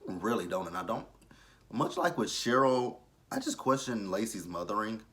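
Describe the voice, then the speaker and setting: A thirty-year-old man talks through a TV episode in a casual review vlog. His voice sits a little low, with a bright, forceful edge. He is blunt and opinionated and swears without hesitation. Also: expressive, moderate pace. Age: 30s. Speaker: TV show reviewer.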